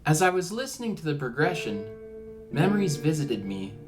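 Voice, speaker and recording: A man giving a reflective, measured scripted narration, as a musician talking about his own work. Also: a little high, sing-song. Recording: ambient music behind the voice. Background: ambient background music.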